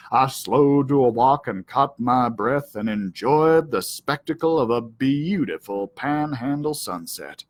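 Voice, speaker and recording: A man's voice: a little high, fairly bright tone. A man voicing a boastful, folksy ranch dog who narrates his own storybook adventures in a self-important, theatrical way. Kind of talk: first-person storybook narration.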